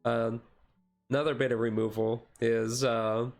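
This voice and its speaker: A man's voice: mid-range voice, level tone, soft background music. Man casually rambling through a card review. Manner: rambling, halting explanation. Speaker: streamer. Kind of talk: ASMR.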